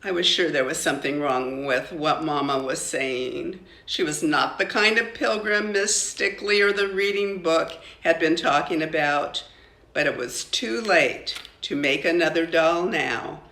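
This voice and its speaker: A woman's voice: sits low, measured pace, fairly bright tone. An older woman narrating a children's storybook aloud, as a grandmother reading to family. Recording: echoey, roomy sound.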